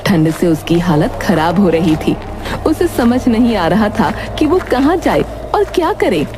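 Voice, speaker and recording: A woman's voice: mid-range voice, very animated, unhurried. A woman giving scripted narration of a fairy tale as the storyteller. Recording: music under the voice.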